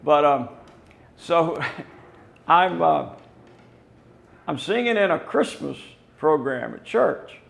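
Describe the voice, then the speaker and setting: An older man talks through building plans, his voice hoarse and rough with fry. He speaks slowly, pausing often with ums and restarts, and his pitch sits a touch high for a man. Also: sing-song. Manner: slow, rambling, with many fillers and restarts. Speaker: company representative. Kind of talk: casual explainer walking through building plans.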